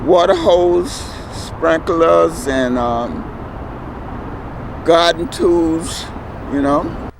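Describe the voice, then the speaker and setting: A man's voice: a little high, extremely slow, vocal fry, long pauses. A man giving casual, unscripted answers as a park visitor in a noisy outdoor interview.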